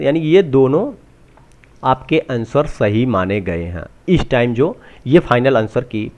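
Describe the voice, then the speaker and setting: A man talks through exam updates unscripted, halting and often restating what he has just said. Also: mid-range voice, darkish tone. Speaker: presenter of exam answer-key updates. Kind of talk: unscripted walkthrough explanation.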